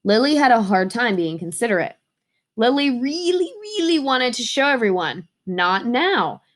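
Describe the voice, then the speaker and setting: A woman reading a children's story aloud with expressive, emphatic phrasing. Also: a little high, moderate pace.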